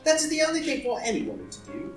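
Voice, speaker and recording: A woman's voice: on the high side, wildly swooping pitch, racing. A woman playing a foppish, idle, witty young lord in a comedy play reading, languid and arch. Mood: musing. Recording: roomy live reading, distant mic, music.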